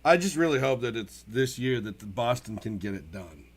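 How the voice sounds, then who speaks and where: A man's voice: medium pitch, big pitch swings, normal speed, slightly rough. A man co-hosting a sports talk show in casual banter, blunt and teasing with his wisecracks.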